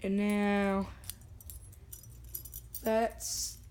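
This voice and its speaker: A young vlogger with a hoarse, worn voice, chatting and joking casually while unboxing. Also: mid-range voice, monotone, drawn-out words, darkish tone. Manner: chatty, jokey, self-talking. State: hoarse, lost voice.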